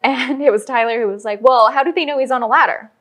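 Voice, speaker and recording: A woman's voice: sits high, quick pace, fairly bright tone. A woman speaking as an interview guest, explaining her creative work in a conversational way with illustrative examples. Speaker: interview guest, audio drama writer.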